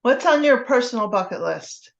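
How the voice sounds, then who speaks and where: A woman's voice: on the high side, animated, normal speed, creaky, lo-fi sound. A woman interviewer asking brief, direct questions.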